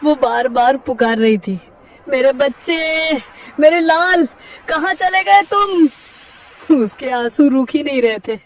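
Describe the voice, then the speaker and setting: A woman's voice: sits high, lively intonation, slow pace, distant mic. A woman voicing a kindly, worried male parrot who tells a story and warns urgently and emotionally. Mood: urgent, grieving.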